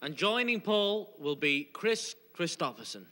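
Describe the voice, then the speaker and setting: A man's voice: on the high side, big pitch swings, a little slow. A man co-hosting a television music show with joking interjections and short announcements. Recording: very noisy, reverberant 1970s TV studio, loud crowd.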